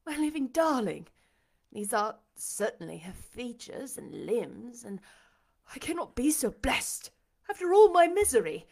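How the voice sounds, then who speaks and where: A woman voicing a desperate, passionate blind gentleman in an audiobook, with a dramatic, demanding delivery. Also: mid-range voice, sing-song, unhurried, gravelly, husky, full character voice. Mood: amazed, overcome. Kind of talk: audiobook character acting.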